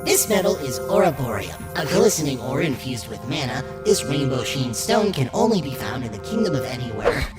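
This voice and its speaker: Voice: mid-range voice, sing-song, a little fast, hardly any pauses, lightly acted. A performer voicing a polite, nervous android courier in a cartoon, with formal, explanatory phrasing. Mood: polite, awkward. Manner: formal, explanatory, stammering when flustered. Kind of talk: scripted narration and animated character dialogue. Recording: roomy sound with loud music behind.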